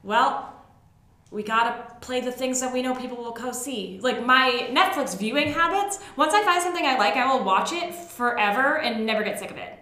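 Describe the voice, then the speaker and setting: A woman's voice: fairly high pitch, animated, normal speed, bright, forceful tone. An American woman co-hosting a casual panel discussion, opinionated and given to wry interjections. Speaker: podcast co-host. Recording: echoey room.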